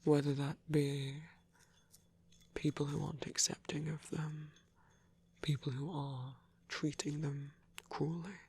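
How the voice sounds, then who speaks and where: A man playing a gentle vampire priest in an intimate ASMR roleplay, soft and breathy, speaking in hesitant, measured, genteel phrases. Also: a little high, flat delivery, dry recording, full character voice. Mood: earnest, measured. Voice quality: breathy, whispery. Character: gentle, soft-spoken vampire priest, male, pacifist, tender.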